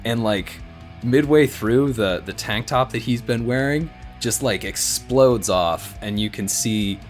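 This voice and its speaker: A man playing a tabletop roleplaying game, narrating casually and haltingly, repeating words as he improvises. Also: mid-range voice. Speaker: player in a tabletop roleplaying show. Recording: music behind.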